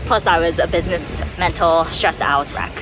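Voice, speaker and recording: A woman's voice: low voice, moderate pace, low-quality recording. A woman in her twenties vlogging in a bubbly, chatty way, often saying "like" and "literally". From Georgia, United States. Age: 20s. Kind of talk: casual chat to camera. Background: music.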